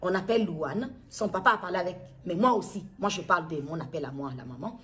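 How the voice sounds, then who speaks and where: Lower-voiced middle-aged Congolese woman, halting delivery. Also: expressive, fairly bright tone. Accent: Congolese, from Kinshasa. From Democratic Republic of the Congo. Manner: halting, repetitive, circles back to restate phrases. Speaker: mother making a public appeal video. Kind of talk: personal testimony to camera, unscripted recounting.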